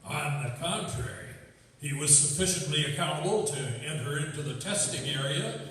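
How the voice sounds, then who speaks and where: A man's voice: a little high, normal speed, fairly bright tone, natural voice. A man playing a firm, formal presiding officer at a court martial in a radio drama. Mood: firm, commanding. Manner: formal, commanding. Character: firm, authoritative presiding military judge. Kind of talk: stage radio drama acting. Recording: echoey hall from far off, faint noise.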